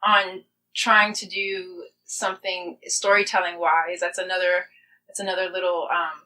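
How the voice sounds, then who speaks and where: Female interview guest, slightly low pitch, forward bright tone, informal and upbeat. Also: little pitch movement, unhurried, low-quality recording. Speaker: featured playwright and poet, interview guest. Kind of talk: casual chat.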